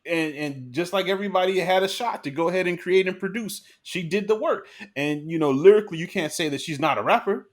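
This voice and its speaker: A man's voice: sits high, fairly quick, hardly any pauses. A man co-hosting a podcast, talking earnestly in a casual discussion, often restarting and adding "you know". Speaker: podcast co-host.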